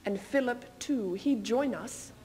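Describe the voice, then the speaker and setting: A woman playing a proud, scheming medieval queen in a theatrical stage reading, taunting her husband with measured, biting speech. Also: a little high, dark tone, faint music behind, lightly acted. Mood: defiant. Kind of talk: theatrical readers theater duo performance. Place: stage in front of curtain.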